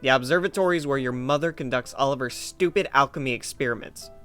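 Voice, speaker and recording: A man's voice: medium pitch, quick pace, sharp tone. A man reading scripted second-person story narration as the narrator of a fan drama. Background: music.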